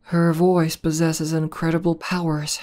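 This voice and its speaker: Woman voicing a low, slow, mellow tomboy demoness. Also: flat delivery. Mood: calm, boastful. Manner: unhurried, hesitant pauses, conversational. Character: buff tomboy demoness girlfriend, proud battle legend, calmly affectionate. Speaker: ASMR roleplay voice actor. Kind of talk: slow intimate ASMR roleplay.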